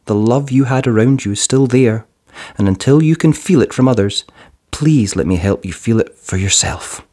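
A man voicing a kind young boy in a children's storybook, gently earnest and encouraging. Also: medium pitch, moderate pace, close to an everyday voice. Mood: gentle encouragement.

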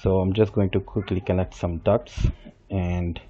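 Male instructor, middle-aged, low and soft-toned, patient step-by-step talk with self-corrections, muffled desk-mic sound. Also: little pitch movement, unhurried, husky. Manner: explains step by step while working, with small restarts and self-corrections. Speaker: software instructor. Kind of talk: screen-recorded software tutorial. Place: desk with computer, voice-over on screen capture. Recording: dull lo-fi voice recording.

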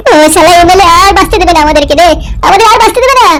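A young cartoon sidekick pleads in a tiny, piercingly high voice. The alarmed, whiny lines race out very quickly, with music clearly behind them. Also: pushed and bright, exaggerated voice. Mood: panicked, whining. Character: panicky, squealing young cartoon sidekick, frightened of a gangster. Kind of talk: Bengali comedy cartoon voice acting.

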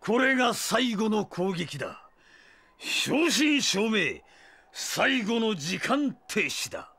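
High, gloating immortal vampire villain. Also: male voice, sing-song, bright, forceful tone, slightly rough, heavily acted. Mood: cold, triumphant. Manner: theatrical, sneering, drawn-out words, maniacal. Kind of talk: anime audio drama acting. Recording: long reverb.

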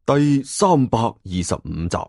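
男人好生動咁講懸疑故仔. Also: male voice, mid-range voice, sing-song, measured pace, creaky, a little mellow, no room sound. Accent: Hong Kong Cantonese. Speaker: audiobook narrator. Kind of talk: dramatic scripted storytelling of a suspense novel.

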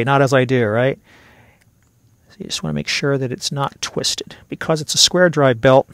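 Casting: middle-aged male tutorial host, conversational and methodical, creaky mid-pitched voice. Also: a bit bright, no room sound. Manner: conversational, step-by-step explaining. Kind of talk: casual hands-on instructional narration.

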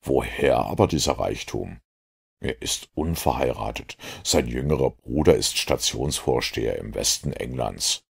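Guy reading as a super deep gravelly detective, calm, lecturing, self-assured, audiobook style. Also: exaggerated voice. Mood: calm, knowing. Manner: measured, didactic, self-assured. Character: composed, lecturing master detective, adult man.

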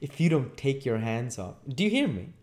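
A man's voice: medium pitch, fairly even intonation, moderate pace, mellow, pitched above the performer's own voice, close to an everyday voice. A man voicing a surly humpbacked man in a storybook reading, curt and coolly threatening. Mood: cool menace.

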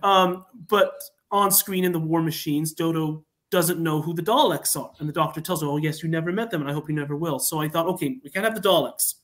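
A man talking enthusiastically as a writer guest on an online panel chat, telling his story in an animated way. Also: a little high, fairly quick, few pauses. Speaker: podcast guest, fan writer. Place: remote online call.

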